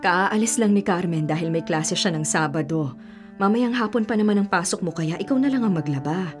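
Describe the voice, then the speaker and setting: Casting: actress for selfless, weary middle-aged mother, earnest radio drama delivery, slightly low register, music bed. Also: brisk, natural voice. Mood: weary, patient. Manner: earnest, principled, pleading when pressed. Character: hardworking, self-sacrificing middle-aged mother and tutor, patient but weary. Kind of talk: Filipino radio drama acting.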